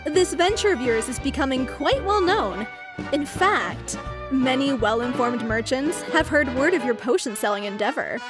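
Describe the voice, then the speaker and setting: Eager, high-voiced money-loving merchant girl. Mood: persuasive. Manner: persuasive sales patter, prone to giggling. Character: eager, money-loving young female merchant with big ambitions. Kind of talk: video game voice acting. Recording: game music behind the voice.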